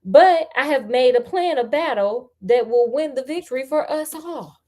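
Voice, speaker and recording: A woman voicing a proud, confident male ostrich giving deliberate battle orders in a storybook. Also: high voice, animated, darkish tone. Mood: commanding.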